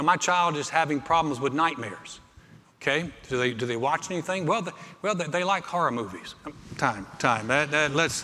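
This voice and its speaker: A man's voice: mid-range voice, bright, forceful tone, slight background noise. A middle-aged man preaching a sermon with personal anecdotes, conversational yet emphatic, repeating phrases for effect. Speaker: pastor. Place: large church auditorium. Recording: reverberant hall, amplified preaching.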